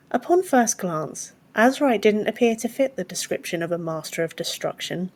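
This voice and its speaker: A woman's voice: mid-range voice, darkish tone. A woman reading a fantasy story as a scripted audiobook narration.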